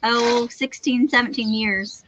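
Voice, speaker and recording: A woman's voice: a little high, fairly even intonation, measured pace, a bit bright, dull audio, slight background noise. A woman with an Oklahoma accent, chatting casually on a farm livestream while milking a cow. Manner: folksy, chatty, laughing asides. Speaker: homesteading livestream co-host. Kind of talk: casual live-stream chat.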